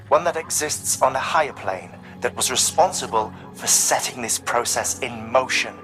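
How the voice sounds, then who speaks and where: An actor playing a grave, cerebral scientist who lays out theories in formal, precise, didactic phrasing in a video game. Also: male voice, medium pitch, sharp tone. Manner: formal, measured wording, didactic. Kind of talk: dramatic video game exposition. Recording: music behind the voice.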